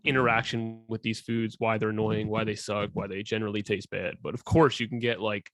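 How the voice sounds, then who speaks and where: A man co-hosting a casual podcast, blunt and opinionated, who often clears his throat. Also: fairly low pitch, flat delivery, fairly quick, a bit bright, no echo. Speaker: podcast co-host.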